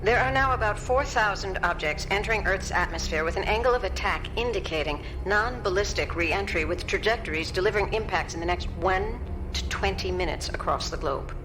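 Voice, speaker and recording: An actress playing a precise, focused scientist giving technical reports deliberately in a radio drama. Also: female voice, medium pitch, music in the background, lightly acted, poor audio. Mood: tense, precise.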